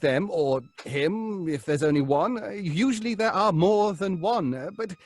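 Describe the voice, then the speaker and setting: An actor playing an earnest, anxious séance leader in an old-style radio horror drama, formal and hesitant. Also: male voice, high voice, animated, a little slow. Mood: reproving, solemn.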